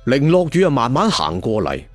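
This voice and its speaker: A man's voice: medium pitch, wildly swooping pitch, normal speed, no echo. A man with a Cantonese accent narrating a martial arts story dramatically, with conversational asides. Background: faint music.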